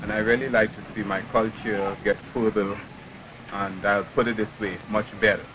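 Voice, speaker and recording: Man building carnival costumes, low voice, earnest and proud, outdoor noise around him. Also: little pitch movement, moderate pace. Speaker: costume maker. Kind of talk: casual interview. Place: backyard outdoors. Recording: outdoor field recording with noise.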